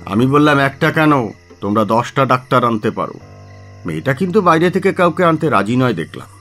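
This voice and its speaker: Grumbling, animated small-town doctor. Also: male voice, mid-range voice, brisk, soft background music. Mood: grumbling, sardonic. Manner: grumbling, sardonic, avuncular. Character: gruff older small-town male doctor, grumbling, familiar. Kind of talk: radio play acting.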